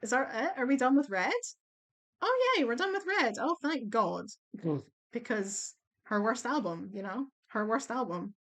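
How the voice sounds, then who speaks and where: Young woman going hoarse, blunt chatty song-ranking vlog, swears and laughs a lot. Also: a little high, fairly bright tone. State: losing her voice. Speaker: vlogger ranking songs. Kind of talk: casual chat. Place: at home at a computer.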